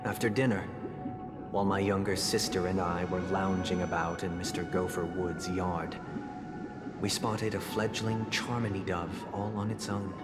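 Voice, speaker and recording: A man acts out a solemn, formal gentleman of a video game, with a low voice and measured delivery. He speaks deliberately and courteously, as if every word were weighed. Long reverb surrounds him and music plays loudly. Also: flat delivery, close to an everyday voice. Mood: solemn, reflective. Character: solemn, courteous young man philosophically posing a moral dilemma. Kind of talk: dramatic monologue in a video game cutscene.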